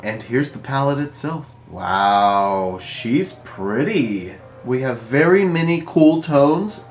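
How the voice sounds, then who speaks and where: A man with a stuffy, nasal voice, sounding like he has a cold. He talks chattily with lively jumps in pitch and sits at a middle pitch for a man. Soft music plays faintly underneath. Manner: slow, careful listing. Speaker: beauty vlogger reviewing makeup. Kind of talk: upbeat product review vlog.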